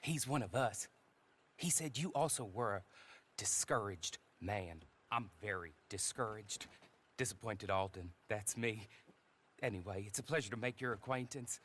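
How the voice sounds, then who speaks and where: An actor playing a genteel, sardonic frontier stagecoach agent with formal, wry, mannered speech in a video game cutscene. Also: male voice, fairly low pitch, normal speed, a bit bright, slight background noise, close to an everyday voice. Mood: wry courteous.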